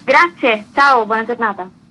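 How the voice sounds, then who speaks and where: A woman's voice: fairly high pitch, unhurried, over music, poor audio. A woman speaking spontaneously as a guest in a radio interview, as a festival's artistic director.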